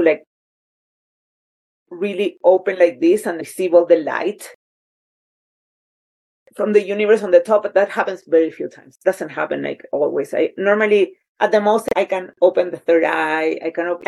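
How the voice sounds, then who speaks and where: A woman's voice: on the low side, warm tone, low-quality recording. A woman from Chile with a Chilean Spanish accent, a podcast guest talking casually and animatedly, often restarting sentences as she searches for words. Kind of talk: casual conversation.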